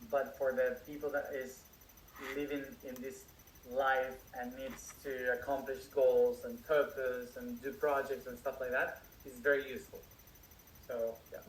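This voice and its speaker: A man answering audience questions as a spiritual teacher, speaking slowly with many restarts and fillers. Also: medium pitch, little pitch movement, a little hiss or hum. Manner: slow, searching speech with many restarts and fillers. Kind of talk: live Q&A, unscripted. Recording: echoey room, distant mic, faint crickets.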